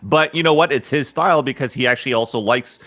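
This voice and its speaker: A man's voice: mid-range voice, fast talker, a bit bright, no echo. A man, a guest commentator on a podcast, talking earnestly and giving advice with casual swearing.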